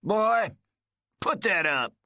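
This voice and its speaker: A man voicing a bitter, paranoid old laid-off miner who rants in a gruff, folksy drawl. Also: a little high, animated, normal speed, pushed and bright, no echo, studio quality, pitched above the performer's own voice. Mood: wary. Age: older. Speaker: story character. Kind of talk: audiobook character voice.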